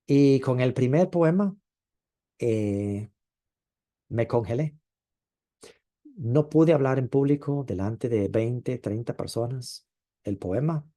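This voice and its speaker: Male preacher, warm dark tone, reflective delivery with pauses and drawn-out emphasis. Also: mid-range voice, lively intonation. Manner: thoughtful, with frequent hesitations and drawn-out stresses. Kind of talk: sermon.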